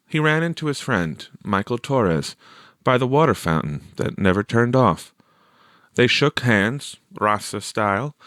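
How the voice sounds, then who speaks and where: Low-voiced man reading a story, close and dry. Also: fairly bright tone, dry recording. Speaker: audiobook reader. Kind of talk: expressive scripted narration of a short story.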